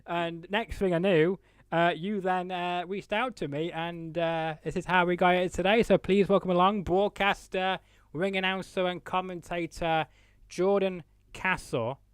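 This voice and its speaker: High, clear-voiced male talk show host. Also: fairly even intonation, unhurried, no echo. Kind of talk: live unscripted interview chat.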